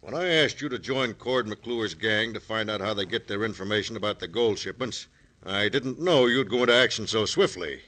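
An actor playing a cautious, secretive American government official of the Old West in a radio drama, speaking in a formal, guarded way. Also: male voice, fairly low pitch, moderate pace, pushed and bright. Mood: guarded, concerned.

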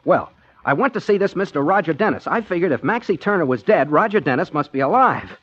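A man's voice: fairly high pitch, animated, quick pace, a bit bright, no room sound. A man playing an affable, naive, scatterbrained amateur private detective in a 1940s radio comedy drama. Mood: polite, flustered. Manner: mild, polite, scatterbrained, hesitant. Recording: muffled vintage 1940s radio recording, music behind.

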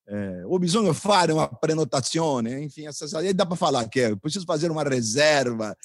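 A husky-voiced middle-aged man with a fairly high pitch hosts a sports chat in a jovial, joking way. The sound is dry and close, with no room around it. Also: lively intonation, normal speed, no echo. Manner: jovial, jokes and calls out guests by name. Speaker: podcast host. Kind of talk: hosting a sports podcast.

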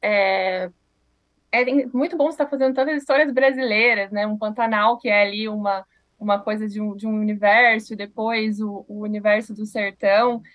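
A woman co-hosting an interview, asking friendly, measured questions. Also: medium pitch, fairly even intonation, dry recording. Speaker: co-host interviewer.

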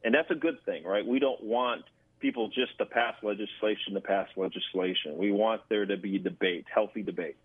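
A man with a fairly low voice speaks as an interview guest over a phone-like connection. He explains things in a measured but halting way, and the sound is thin and dry. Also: slightly rough, no echo. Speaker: interview guest, government affairs director. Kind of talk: radio interview answers.

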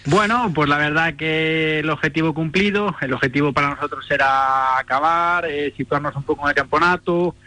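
A man's voice: a little high, normal speed, hardly any pauses, pushed and bright, dry recording. A young man from Spain, a rally driver, talking casually over the phone with fillers, repeats and easy laughs. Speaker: rally driver, interview guest. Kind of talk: phone interview. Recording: dry phone line.

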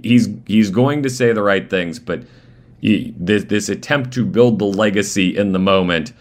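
A man hosting live sports talk radio, fighting a voice that is going, talking in restarts and quick asides. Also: on the low side. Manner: animated, restarting phrases. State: losing his voice. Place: radio studio.

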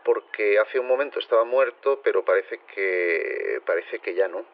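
An actor playing an unruffled zoology lab worker, matter-of-fact and deadpan, in a naturalistic audio comedy. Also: male voice, lowish voice, normal speed, lightly acted. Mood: deadpan, helpful. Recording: muffled phone-like line.

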